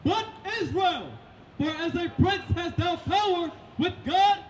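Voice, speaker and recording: Male scripture reader, very high strained pitch, declamatory, projected, faint noise. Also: a little slow. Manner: projected, shouted delivery. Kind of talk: loud declamatory Bible reading. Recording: echoey, distant, slightly noisy live recording.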